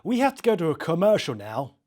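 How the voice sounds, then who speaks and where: A man acts out a male late-night radio host in a horror tale. The voice sits a little high, with polite, emphatic on-air patter and an unsettled, hesitant edge. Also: big pitch swings, fast talker, a little mellow. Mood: flustered, polite.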